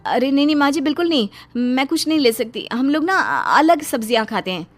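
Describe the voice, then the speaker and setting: A woman voicing a flustered, frugal young housewife in a cartoon story, excitable and protesting. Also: high-pitched, fairly quick, faint music behind, pitched above the performer's own voice. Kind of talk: Hindi cartoon moral story dialogue.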